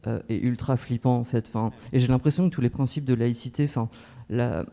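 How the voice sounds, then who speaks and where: A man's voice: mid-range voice, steady tone, a little fast, vocal fry, warm tone. A man in his forties from northern France, speaking casually and hesitantly as an audience member, in a rambling, colloquial way. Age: 40s. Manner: hesitant, colloquial, rambling with many filler words. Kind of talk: casual spontaneous remarks.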